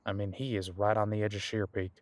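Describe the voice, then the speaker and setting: An easygoing ancient demon detective played by a man talks in a low voice, close and dry. He muses in a folksy, laid-back way, as if chatting with a companion in an audio roleplay. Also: flat delivery, quick pace, dry recording, lightly acted. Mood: relaxed, musing. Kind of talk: conversational one-sided audio roleplay.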